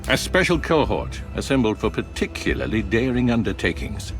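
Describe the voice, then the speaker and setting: An actor playing a confident Roman general and consul, emphatic and authoritative, in a video game. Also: male voice, mid-range voice, sing-song, normal speed, music in the background. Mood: commanding. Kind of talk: scripted game dialogue.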